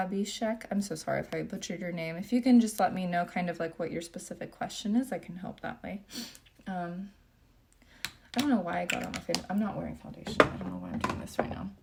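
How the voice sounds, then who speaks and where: A young woman from Japan chatting casually through a livestream Q&A, reading comments aloud while doing her makeup, relaxed and full of fillers. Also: mid-range voice, vocal fry. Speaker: beauty vlogger.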